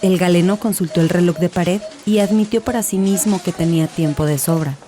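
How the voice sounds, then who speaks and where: A woman's voice: fairly low pitch, fairly quick, warm tone. A woman giving a dramatic scripted narration as an audiobook narrator. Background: music.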